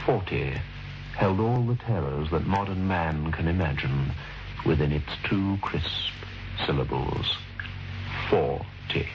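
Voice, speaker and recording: A man playing a solemn, wry storyteller, narrating a radio suspense tale with measured, dramatic pauses. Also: extremely low pitch, noisy background. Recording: dull vintage radio recording over music.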